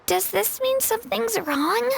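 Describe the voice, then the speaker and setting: A woman's voice: very high-pitched, expressive, a little slow, vocal fry, slight background noise, heavily acted. An earnest, hopeful young girl. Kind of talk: game cutscene voice acting. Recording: game score music underneath.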